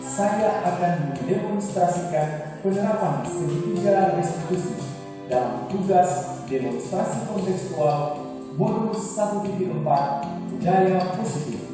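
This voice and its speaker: A man's voice: high voice, expressive, measured pace. A man formally introducing a teacher demonstration video. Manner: formal, announcing. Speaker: video narrator. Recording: distant, echoey hall with music.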